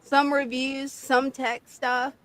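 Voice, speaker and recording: A woman's voice: sits high, flat delivery, slow, a bit bright. A woman co-hosting a casual livestream, her voice worn and fading after a bout of flu. She answers in short, easygoing replies and laughs a lot between remarks. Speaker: co-host, video creator.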